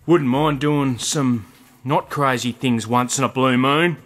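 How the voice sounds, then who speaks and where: An actor with an Australian accent playing a scruffy, restless drifter in a radio play, cagey and excitable. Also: male voice, medium pitch, takes it slowly, soft background music. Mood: cagey, wry. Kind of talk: radio drama acting.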